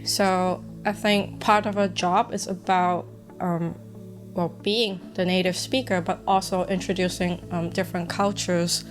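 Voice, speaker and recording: A woman's voice: on the low side, little pitch movement, measured pace, faint music behind. A woman, an English teacher abroad, chatting casually in an interview and telling fond stories.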